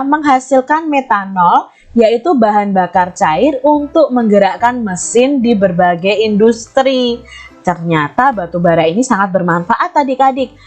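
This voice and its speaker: Indonesian woman teaching children, music behind. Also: mid-range voice. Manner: addresses young children, encouraging, with frequent questions. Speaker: teacher hosting an online lesson for children. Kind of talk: upbeat primary-school lesson, explanatory.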